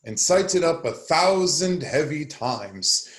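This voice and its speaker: Casting: man to play anguished Shakespearean nobleman, slow, highly varied pitch, roomy livestream sound. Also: expressive. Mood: uneasy, recounting. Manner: dramatic, anguished. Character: Clarence, troubled nobleman haunted by fearful dreams. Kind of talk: classical Shakespeare stage acting.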